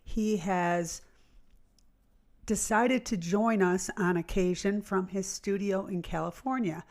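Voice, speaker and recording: A woman hosting, giving an informal introduction in a chatty, deliberate way. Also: medium pitch, steady tone, a little mellow. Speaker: host and theater company director.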